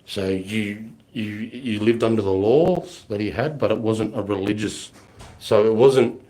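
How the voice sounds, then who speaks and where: A man's voice: fairly low pitch, a little slow, warm tone, rough recording. A man, rambling bluntly and swearing as he answers viewer questions on a live stream, his voice a little off from a flu. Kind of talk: casual live Q&A chat.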